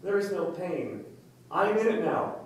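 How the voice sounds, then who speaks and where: A man acting an earnest, haunted man in a hypnotic trance, speaking deliberately and intensely in a staged play reading. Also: high voice, big pitch swings. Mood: awestruck, rapt. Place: indoor room, staged reading recorded from afar. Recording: very echoey room, distant mic, faint noise.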